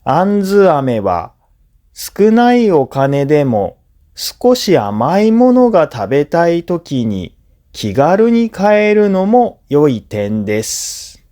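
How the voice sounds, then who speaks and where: Very slow, deliberate male narrator. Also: on the high side, very animated, mellow. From Japan. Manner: slow, deliberate phrasing in short segments for learners. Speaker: language teacher and narrator. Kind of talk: scripted listening-practice narration.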